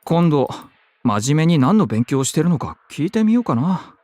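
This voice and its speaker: Fussy, animated effeminate young man. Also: on the high side, quick pace, no echo. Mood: concerned, chatty. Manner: feminine speech, expressive, nagging. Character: effeminate, chatty, fussy young man, sweet-toothed and excitable. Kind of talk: Japanese visual novel voice acting.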